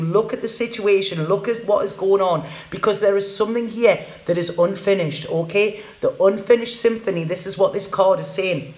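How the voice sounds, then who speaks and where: A woman doing a live tarot reading for viewers, chatting casually and often ending her lines with "okay?". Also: mid-range voice. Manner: chatty, tags sentences with "okay?", repeats words. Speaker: tarot reader. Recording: roomy echoey room.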